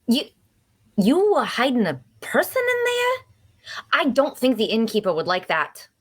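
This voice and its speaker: Chatty, teasing young female adventurer played by a woman, very animated delivery, folksy and persistent, for fantasy audio drama. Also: on the high side, a little slow, slightly rough, heavily acted. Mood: curious, friendly. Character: chatty, nosy, cheerful young female traveler. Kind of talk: dark fantasy audio drama table read.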